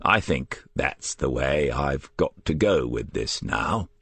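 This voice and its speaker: A man's voice: very deep voice, expressive, slow pace, fairly bright tone, slightly rough, no room sound, full character voice. A man playing a shabby, canny police detective sergeant in an audiobook, questioning in a frank, coaxing way. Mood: frank, probing.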